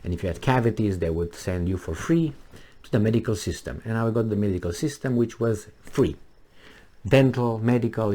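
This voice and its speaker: A middle-aged man from Romania, talking casually to his subscribers in a halting way with many pauses and fillers. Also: fairly low pitch, dark tone. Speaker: vlogger. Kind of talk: casual monologue to camera.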